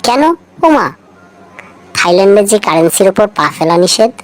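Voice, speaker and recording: A woman with a mid-pitched voice narrates a light trivia feature from a script. She speaks straight to the listener and often frames things as rhetorical questions. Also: lively intonation, normal speed.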